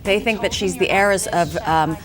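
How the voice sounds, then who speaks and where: A woman's voice: fairly low pitch, moderate pace, music in the background. A woman from Hong Kong, a director and actress, answering interview questions in a chatty way with many fillers. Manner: chatty, frequent "you know" and "um". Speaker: film director and actress, guest.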